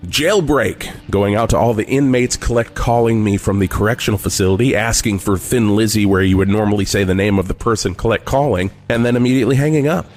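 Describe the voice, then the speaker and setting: A man playing a deadpan classic rock radio DJ, delivering showy patter in a parody radio shift. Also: fairly low pitch, a little fast, few pauses, close to an everyday voice. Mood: deadpan, upbeat. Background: music behind the voice.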